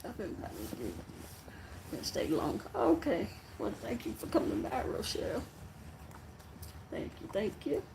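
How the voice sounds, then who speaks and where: A soft-voiced live-stream host chatting casually with viewers in a rambling, halting way before a story reading. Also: mid-range voice, huge pitch swings, vocal fry, very noisy. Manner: rambling and halting, with many fillers and trailing sentences.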